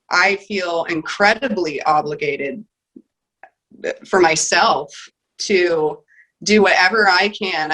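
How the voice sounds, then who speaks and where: A woman's voice: on the low side, a bit bright, rough recording. A woman interviewed as a guest, speaking earnestly and emphatically about her heritage and sense of duty. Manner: heartfelt and emphatic, with repeated "you know". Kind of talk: earnest conversational interview answer.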